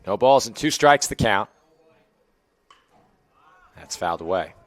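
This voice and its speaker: A young man with a hoarse, raspy voice, calling baseball play-by-play pitch by pitch. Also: measured pace, dry recording. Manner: steady, calls each pitch and count. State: hoarse, losing his voice. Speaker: play-by-play announcer.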